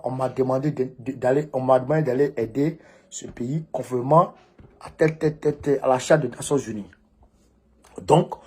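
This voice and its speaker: A man with a West African French accent delivering an informal political monologue as a commentator, emphatic and repeating phrases for stress, with a husky voice. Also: on the high side, a little mellow.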